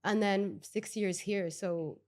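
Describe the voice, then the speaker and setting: A young woman with a softened Irish accent, co-hosting a podcast in a relaxed, dry-humored way. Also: mid-range voice, takes it slowly. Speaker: podcast co-host. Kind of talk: casual chat.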